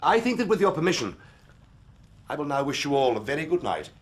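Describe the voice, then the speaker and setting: An actor playing a stiff, haughty upper-class English aristocrat in a period radio drama, pompous and formal. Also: male voice, fairly high pitch, big pitch swings, fairly quick, a little hiss or hum. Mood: cold, offended.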